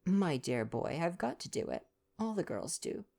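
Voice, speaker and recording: A breezy young English woman from a storybook, as a male reader puts her on, speaking higher and with a little creak in the voice. She is cheeky and flippant, with brisk, slangy turns of phrase. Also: sing-song, a little mellow, heavily acted.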